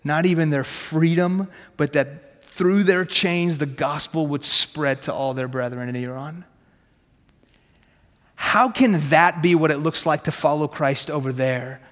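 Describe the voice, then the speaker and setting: A man in his thirties whose first language is Farsi, preaching a sermon from his own story in a punchy, conversational way, with quick rhetorical questions and self-mocking jokes. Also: on the high side, fairly even intonation. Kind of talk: sermon with personal testimony. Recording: long hall reverb.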